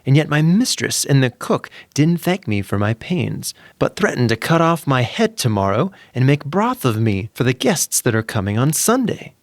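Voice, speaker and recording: A man's voice: mid-range voice, big pitch swings, normal speed, hardly any pauses, no echo, studio quality. A man voicing a self-important, put-upon farmyard rooster in a storybook narration. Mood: aggrieved.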